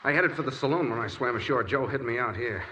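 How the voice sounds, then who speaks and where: A man plays a defiant man on the run in a 1940s radio play. He talks briskly and frankly in a mid-pitched, sardonic voice over clear broadcast noise. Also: close to an everyday voice. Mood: defensive. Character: defiant fugitive man hiding from the law. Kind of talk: 1940s radio detective drama acting.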